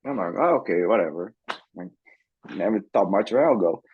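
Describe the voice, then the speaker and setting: A man from Brazil with a Brazilian Portuguese accent, a podcast guest telling his story in a relaxed, easygoing way. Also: low-pitched, a little fast, slightly rough, narrow, tinny audio. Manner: relaxed, chuckles often, repeats words as he thinks. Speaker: farrier school director, guest. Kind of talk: casual interview storytelling.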